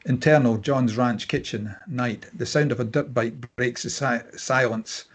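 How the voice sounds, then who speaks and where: Male narrator, raspy mid-range voice, reading screenplay action lines. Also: mid-range voice, steady tone, measured pace. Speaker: stage-direction reader. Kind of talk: scripted narration at a table read.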